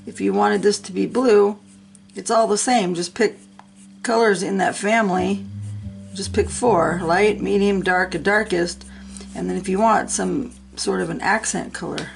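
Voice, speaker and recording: A woman's voice: medium pitch, steady tone, unhurried, creaky, a little hiss or hum. A middle-aged woman, a little sick and sniffling, chatting casually and encouragingly as she talks through a coloring tutorial. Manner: chatty and encouraging, talks to herself while working.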